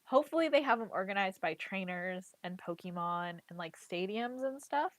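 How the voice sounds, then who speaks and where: A woman's voice: medium pitch, animated, moderate pace, dry recording. A woman chatting casually about playing a card game, with a congested voice from a cold and a habit of saying "like" and "literally". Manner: chatty, heavy use of "like" and "literally". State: congested, getting over a cold. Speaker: trading card game player and content creator. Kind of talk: casual chat.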